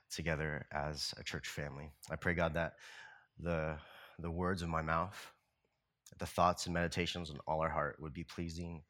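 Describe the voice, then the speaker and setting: A man's voice: sits low, fairly bright tone. Scratchy-voiced man preaching casually. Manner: casual, loose delivery with many fillers and restarts. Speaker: pastor. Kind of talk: conversational sermon.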